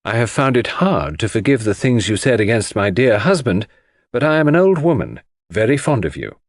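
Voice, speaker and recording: A man's voice: medium pitch, big pitch swings, normal speed, no echo, studio quality. A man voicing a fond, proud old woman's formal letter, read aloud in an audiobook. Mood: conciliatory. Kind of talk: audiobook character reading.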